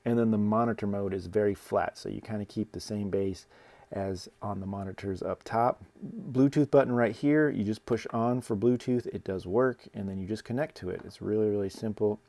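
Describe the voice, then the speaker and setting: A man with a lowish, fry-heavy voice presents equipment in a casual review style. He explains step by step in plain, informal phrasing, often repeating himself. The sound is dry and close, with little room in it. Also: moderate pace, mellow, no echo. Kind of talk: casual product demonstration.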